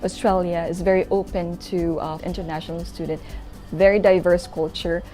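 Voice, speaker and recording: A woman's voice: fairly low pitch, level tone, normal speed, dark tone. A young woman with a Filipino accent, a master's student giving a promotional testimonial. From Philippines. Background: background music.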